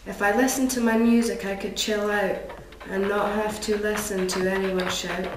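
A woman's voice: mid-range voice, level tone, normal speed. A young woman with a Scottish accent reading a rhyming poem as narration. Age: young adult. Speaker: poem reader. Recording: echoey room.

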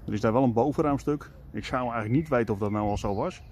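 A man's voice: mid-range voice, fast, a little hiss or hum. A man in his forties vlogging casually from a camera held at arm's length, musing aloud with lots of fillers as he rides around and heads home. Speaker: urbex vlogger. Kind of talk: casual vlog commentary. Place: outdoors on a scooter, later indoors in a stairwell.

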